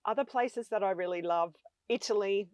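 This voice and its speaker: A woman's voice: medium pitch, moderate pace, no echo, clean studio sound. A woman in her fifties with an Australian accent, chatting enthusiastically as an interview guest about her travels. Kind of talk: casual conversation.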